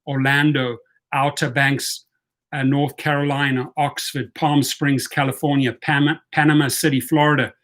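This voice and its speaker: A man with a South African accent hosting a livestream, rallying viewers and calling out long lists of cities and viewer comments. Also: mid-range voice. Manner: reads viewer comments aloud. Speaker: channel host. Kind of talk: livestream call to action.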